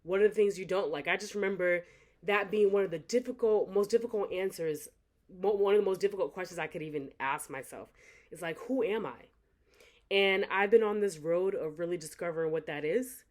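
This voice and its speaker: A woman's voice: on the low side, brisk. Earnest young woman giving motivational talk. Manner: earnest, reflective, repeats phrases for emphasis. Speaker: author thanking supporters. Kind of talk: motivational direct-to-camera talk.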